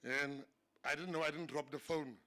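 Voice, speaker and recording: A man's voice: fairly high pitch, fairly even intonation, moderate pace, sharp tone. A South African man whose first language is Afrikaans, a government minister giving a humorous after-dinner speech, telling stories and laughing at his own jokes. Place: banquet hall.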